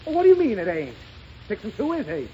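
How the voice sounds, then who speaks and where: A man's voice: high voice, huge pitch swings, fast talker, slight background noise. An actor playing an impatient, harried father who scolds his child, in 1930s radio comedy acting. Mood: exasperated. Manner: exasperated, scolding. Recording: muffled 1930s radio recording.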